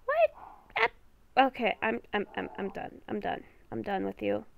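A woman's voice: mid-range voice, huge pitch swings, gravelly, a little hiss or hum. Exasperated woman improvising game roleplay. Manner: exasperated, halting. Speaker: gaming video creator playing the lead character.

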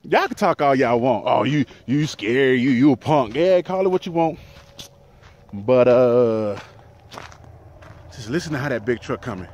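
A man in his thirties, a truck driver, chatting casually to his viewers in a handheld vlog, outdoors by a highway with the phone at arm's length. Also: expressive, normal speed, long pauses, darkish tone. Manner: chatty, talks to viewers as "y'all", laughs easily. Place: outdoors in an empty desert town by a highway. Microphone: phone held at arm's length.

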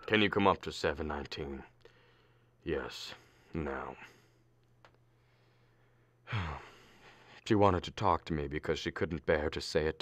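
A man playing an exasperated, blustering middle-aged father and husband in a radio comedy play, halting and strained. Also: rumbling low, no room sound. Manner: halting, throat-clearing, erupting when frustrated. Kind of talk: stage comedy acting for radio.

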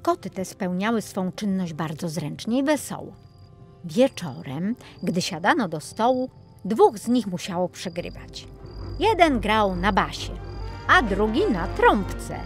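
A woman narrating a children's fairy tale as an expressive audiobook storyteller. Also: medium pitch, takes it slowly, faint music behind.

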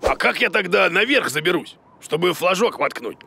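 A man voicing a silly, excitable bearded creature teacher in a children's cartoon, blustering and confidently wrong. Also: sits high, normal speed, gravelly, breathy edge, exaggerated voice. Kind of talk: children's educational cartoon acting. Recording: music behind the voice.

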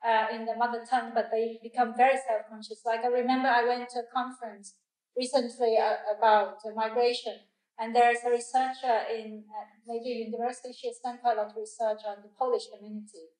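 Higher-voiced woman. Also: level tone, fast, a little mellow. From Hong Kong. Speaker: discussion leader. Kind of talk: group discussion. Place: group discussion in a room. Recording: echoey room, distant mic.

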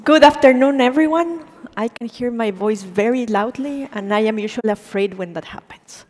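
A woman in her forties, a native Spanish speaker, giving an animated lecture on stage as a program director, engaging the audience with questions. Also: on the high side, moderate pace. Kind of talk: public lecture. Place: large auditorium stage. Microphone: stage mic, speaker walking. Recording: long reverb of a hall.

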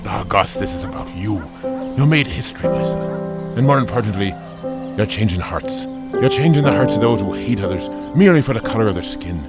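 A man's voice: lowish voice, animated, brisk, loud music behind the voice. An actor playing a kindly, proud older parish priest in an audio drama, with a folksy, hesitating, fatherly way of talking. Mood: proud, earnest.

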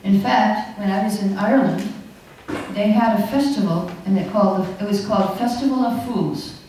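A woman's voice: mid-range voice, moderate pace, mellow, slight background noise. Older woman lecturing in an echoey hall. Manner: chatty, anecdotal, laughs often while teaching. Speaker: class speaker. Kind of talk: scripture class lecture. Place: hall, indoors. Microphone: podium mic on stand. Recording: echoey hall, recorded from a distance.

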